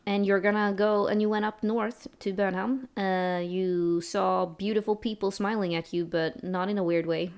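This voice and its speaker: A woman's voice: mid-range voice, steady tone, moderate pace, few pauses, a little mellow, clear, resonant tone. A young woman from Sweden running a tabletop role-playing game as game master, chatting casually with frequent laughs and restarts.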